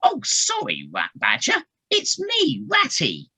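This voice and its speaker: A woman's voice: high voice, wildly swooping pitch, slow pace, creaky, sharp tone, husky, exaggerated voice. A woman voicing a friendly, apologetic water rat in an audio play. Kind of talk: community audio play acting. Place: living room, recorded over video call.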